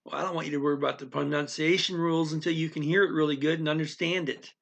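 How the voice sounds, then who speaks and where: A man's voice: a little high, steady tone, fast, vocal fry, fairly bright tone. A man giving a casual, rambling language lesson, speaking straight to the learner and checking in with frequent okays. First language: English. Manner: rambling, restarts often, speaks directly to the learner with frequent "okay?". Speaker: language teacher.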